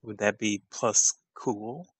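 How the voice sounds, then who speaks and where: A man's voice: lowish voice, fairly even intonation, slow pace, a bit bright, slightly rough, no room sound. A man chatting casually as a player in a tabletop roleplaying game.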